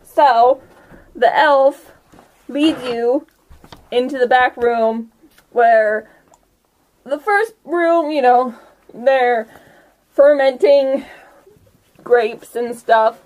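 A woman narrates a tabletop game as its game master. Her voice sits on the high side and she takes her time, feeling out the scene haltingly. The delivery is casual and giggly. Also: darkish tone.

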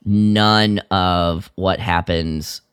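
A man with a fairly low, smooth voice talks as a podcast co-host. He recaps a story casually and very slowly, halting and loosely phrasing things as he goes. The sound is close and dry. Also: steady tone, a bit bright, no echo.

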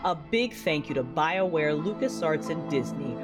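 Low-voiced woman reading podcast credits. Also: animated, moderate pace. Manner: friendly, chatty, reads credits. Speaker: podcast creator and host. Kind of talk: casual closing announcements and credits. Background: music.

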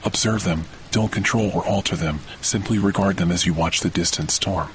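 A man guiding a scripted sleep meditation in a soothing, measured manner. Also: low-pitched, slight background noise. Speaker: podcast host, meditation guide. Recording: rain and thunder behind voice. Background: rain and distant thunder.

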